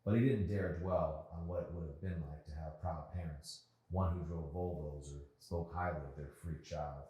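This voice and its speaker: Man, low-pitched, expressive novel narration, author reading, very echoey room. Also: normal speed. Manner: expressive, acts out dialogue. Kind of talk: audiobook-style narration of a novel.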